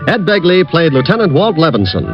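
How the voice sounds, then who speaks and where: A man's voice: a little high, big pitch swings, a little fast. An American man reading closing credits as a formal, projecting old-time radio announcer. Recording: dull old radio recording over music.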